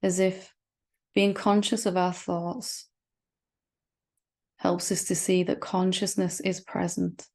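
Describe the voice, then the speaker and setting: A woman leading a guided meditation as a spiritual teacher, speaking gently and reassuringly with long, unhurried pauses. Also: on the low side, flat delivery.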